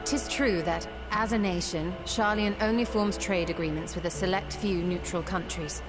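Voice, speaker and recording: Actress as composed scholarly woman over loud music. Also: fairly low pitch, close to an everyday voice. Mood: composed, instructive. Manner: formal, measured, precise, old-fashioned phrasing. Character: composed, scholarly woman adviser, formal and shrewd. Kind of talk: video game cutscene acting.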